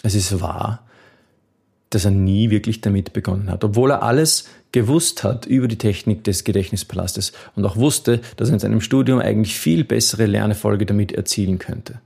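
A man talks through a viewer's question about memory techniques, his voice low and husky. He stays earnest and encouraging, with a gravelly edge and small restarts. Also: normal speed, a little mellow. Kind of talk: advice video answering a viewer question.

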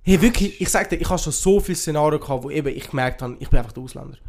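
A young man with a Swiss accent talks in a fairly high-pitched male voice. His delivery is casual and conversational, peppered with youthful slang and English expressions, like a podcast co-host chatting with a friend. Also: rapid-fire, vocal fry, a little mellow. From Switzerland. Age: young adult. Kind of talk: casual chat.

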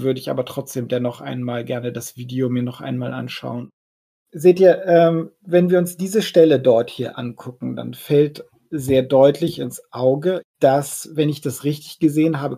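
A man's voice: mid-range voice, moderate pace, vocal fry, warm tone, breathy edge. A man playing a polite, analytical vampire investigator in an audio drama, speaking formally and hesitantly. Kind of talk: German audio drama role-play acting.

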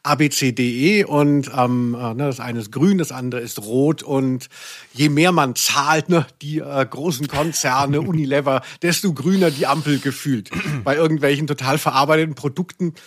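A man's voice: medium pitch, steady tone, normal speed, a bit bright. A man co-hosting a casual, jokey podcast, talking in a wordy, self-mocking, rambling way. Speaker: podcast co-host. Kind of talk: casual, jokey podcast chat about audio dramas.

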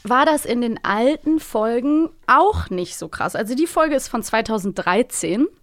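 A woman's voice: fairly high pitch, expressive, a little slow, dry recording. A woman in her thirties chatting casually as a podcast co-host, in a close recording. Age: 30s. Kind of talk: casual chat about audio dramas.

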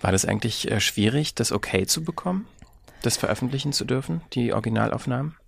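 A man's voice: mid-range voice, expressive, fast talker, vocal fry, husky. A man hosting a podcast interview, asking casual questions in informal, meandering phrasing.

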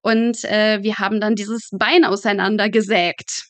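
A woman's voice: mid-range voice, little pitch movement, a little slow, a bit bright, dry recording. A woman in her twenties, a student guest in an interview, telling anecdotes in a humorous, vivid, colloquial way. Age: 20s. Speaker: guest, medical student working in a pathology practice. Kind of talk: lively interview storytelling.

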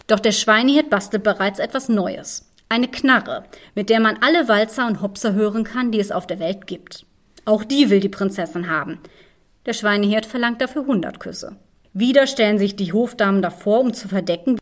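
A woman hosting a podcast, narrating fairy tales in an expressive, measured storytelling manner. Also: medium pitch. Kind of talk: fairy-tale narration and discussion.

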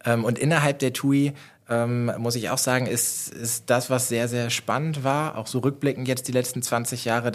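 A man in his forties from Germany, a company director answering interview questions thoughtfully, with frequent fillers and restarts. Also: medium pitch. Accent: German (northern German). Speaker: managing director, guest.

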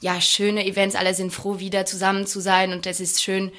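A young woman from France with a French accent, a podcast guest who speaks warmly and hesitates often. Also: mid-range voice, completely flat delivery, fairly quick. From Champagne, France. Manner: warm, hesitant, many fillers. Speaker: guest, champagne brand ambassador. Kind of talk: podcast interview.

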